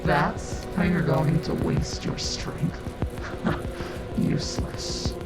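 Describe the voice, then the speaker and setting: A woman playing a cold, relentless inhuman female enemy in a video game, taunting and commanding in a deliberate delivery. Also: low voice, poor audio. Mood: quiet scorn. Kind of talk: dramatic visual novel game acting. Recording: loud music over the voice.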